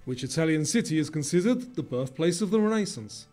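A man narrates a scripted quiz in a fairly high, very mellow voice. His delivery of questions and answers is measured, with faint music underneath. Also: animated.

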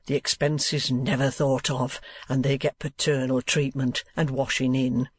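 A man's voice: medium pitch, level tone, normal speed, slightly rough, dry recording, lightly acted. A man voicing a boastful, hypocritical middle-aged schoolmaster with pompous, oily sales patter in an audiobook.

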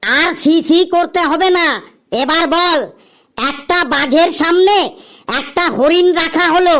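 A woman plays an impatient cartoon boss with an extremely high voice. The delivery is bossy and scolding, with questions drawn out slyly for a comedy sketch. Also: a little slow, a bit bright. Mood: smug, bossy. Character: impatient, tricky interviewer boss posing riddles.

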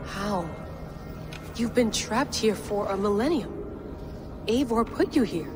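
A focused, determined explorer. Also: female voice, mid-range voice, animated, slow pace. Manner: clipped, focused and practical. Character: determined young woman explorer. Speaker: explorer on a mission. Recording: game cutscene with loud music behind.

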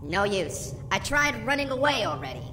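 A woman's voice: medium pitch, big pitch swings, moderate pace, noisy background, natural voice. A woman playing a small, nervous, flustered alien tech genius in a radio drama. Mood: nervous, hurried. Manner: flustered, eager, jittery. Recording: echoey, under very loud music.

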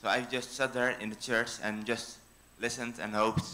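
A young man with a Dutch accent, giving a hesitant personal testimony with many pauses on a handheld mic in a church hall. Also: mid-range voice, flat delivery, sharp tone. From Netherlands. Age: young adult. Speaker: mission team member. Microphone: handheld microphone.

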